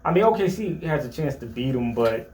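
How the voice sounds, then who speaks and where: A man's voice: mid-range voice, lively intonation, brisk, darkish tone, roomy sound, a little hiss or hum. A man co-hosting a casual sports chat show, giving opinionated takes with lots of stammered restarts.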